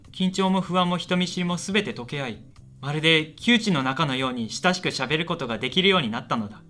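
Radio drama student played by a man: shy but chatty, earnest young guy, higher-pitched and crisply bright, guitar music behind. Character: shy lonely male university student, eager and chatty. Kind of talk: radio drama dialogue and first-person narration.

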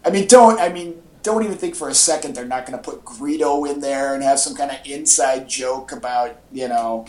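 Guy doing casual podcast chat, enthusiastic fan type, mid pitch, really echoey room. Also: normal speed, a little mellow. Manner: informal, enthusiastic fan talk. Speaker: podcast co-host. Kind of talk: casual pop-culture chat.

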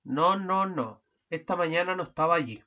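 Fussy, quarrelsome husband for a radio drama, voiced low by a woman; muffled recording. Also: animated, moderate pace. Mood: exasperated. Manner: bickering, rising to shouting. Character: exasperated fussy married man, husband. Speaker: student radio drama actor. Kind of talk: amateur radio play acting.

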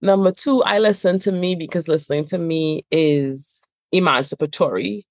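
Trinidadian woman guest, low and dry, emphatic, builds points by repetition. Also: moderate pace, no echo. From Trinidad and Tobago. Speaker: guest therapist. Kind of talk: podcast conversation.

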